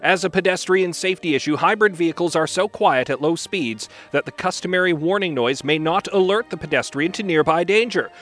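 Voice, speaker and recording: Male announcer, slightly high voice, formal scripted read with faint music underneath. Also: brisk, hardly any pauses, a bit bright.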